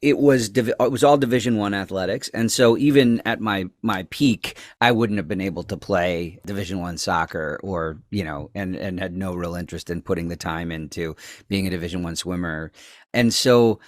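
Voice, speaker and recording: Low-voiced man, casual and rambling. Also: normal speed, dry recording, studio quality. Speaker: interview guest, actor. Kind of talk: casual interview chat.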